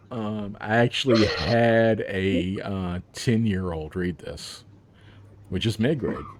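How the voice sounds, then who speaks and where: A man's voice: on the low side, expressive, very slow, long pauses. A man, an author, talking about his book in a casual interview, rambling with plenty of fillers and laughs.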